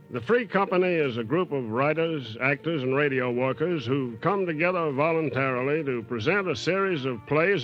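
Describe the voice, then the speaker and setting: A muffled 1940s radio recording of an American man with a fairly high voice. He presents a program formally, in measured, declamatory phrases, with faint music.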